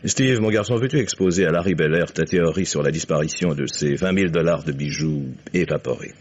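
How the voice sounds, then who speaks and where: An actor playing an older male investigator in an old French radio mystery, courteous and insinuating, with measured irony. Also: very deep voice, slightly rough. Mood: courteous, sly. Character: shrewd older investigator, courteous, ironic, accusing father. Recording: dull vintage radio recording.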